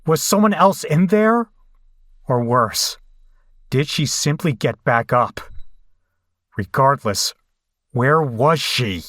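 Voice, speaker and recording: A man's voice: medium pitch, lively intonation, takes it slowly, long pauses, a bit bright, no echo, clean studio sound. A man playing a shaken horror survivor, narrating a bloody escape in a grave, dramatic audio drama style. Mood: rising dread.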